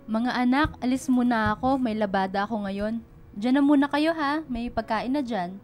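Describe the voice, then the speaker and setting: An actress playing a humble, hardworking Filipino mother and laundrywoman in a radio drama, gentle and polite. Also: on the high side, moderate pace, soft background music, close to an everyday voice. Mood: caring.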